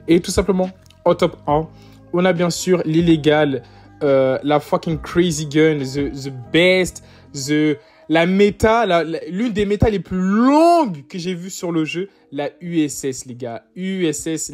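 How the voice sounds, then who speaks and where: A man's voice: a little high, darkish tone. A young man casually ranking weapons in a mobile shooter, chatty and full of filler words and gamer slang, talking straight to his viewers. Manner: loose, chatty, constant fillers and "tout simplement" restarts; gamer slang, addresses viewers as "les gars". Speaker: mobile game content creator.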